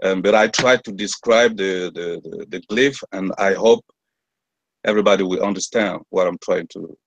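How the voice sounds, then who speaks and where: A man's voice: sits low, moderate pace, gravelly, dull audio, a little hiss or hum. A man with a French accent, whose first language is French, hesitantly describing hieroglyphs in an online class, searching for words with many restarts. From France. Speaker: class member.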